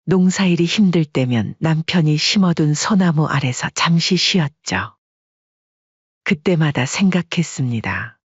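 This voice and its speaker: A woman reads a family drama in a calm, measured voice that is slightly husky. The recording is close and dry, with a somewhat dull, muffled tone. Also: sits low, no echo. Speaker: audiobook narrator. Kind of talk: scripted story narration.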